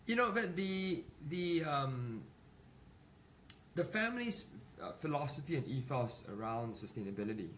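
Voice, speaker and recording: A man in his forties from Singapore, a business executive answering podcast interview questions in a measured way with many fillers and restarts. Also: a little high, animated, fairly bright tone. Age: 40s. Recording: distant mic with faint ambient noise.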